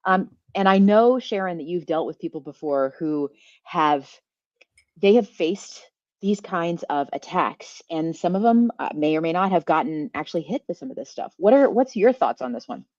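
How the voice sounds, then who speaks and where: Animated woman hosting a lo-fi webinar. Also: on the low side, normal speed, dry recording. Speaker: host. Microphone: video call.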